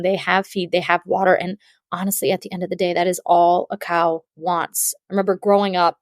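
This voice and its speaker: Blunt, animated woman dairy farmer. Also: mid-range voice, no room sound, clean studio sound. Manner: blunt and animated, swears for emphasis. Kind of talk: casual podcast chat.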